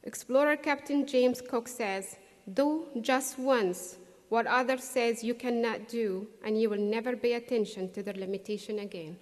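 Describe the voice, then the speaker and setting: A middle-aged woman, not a native English speaker, giving an earnest, measured graduation speech with pauses for emphasis. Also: a little high. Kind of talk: prepared convocation speech. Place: large hall. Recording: distant echoey hall recording.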